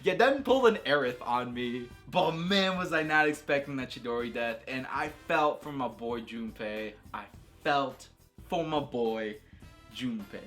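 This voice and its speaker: A man's voice: mid-range voice, expressive, a little slow, a bit bright, soft background music. A man giving casual, unscripted opinions as a video creator, talking in an enthusiastic, slangy way and repeating phrases for emphasis. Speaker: video creator reviewing a game.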